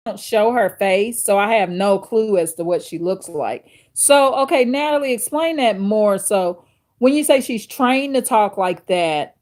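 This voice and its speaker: Slow, deep-voiced woman host chatting live. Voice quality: deep authoritative voice. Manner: slow, thinking aloud with fillers and restarts; addresses viewers directly. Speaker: livestream host reading chat. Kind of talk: casual chat with live viewers.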